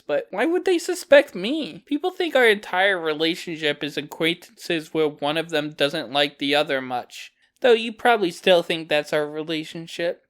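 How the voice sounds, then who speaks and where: A man's voice: fairly high pitch, fairly bright tone, lightly acted. A young man voicing a taunting, possessive, sadistic femboy kidnapper in an ASMR roleplay, with a feminine-sounding voice and mocking, condescending baby-talk. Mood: mock-fearful, smug. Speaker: voice actor. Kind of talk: ASMR roleplay character acting.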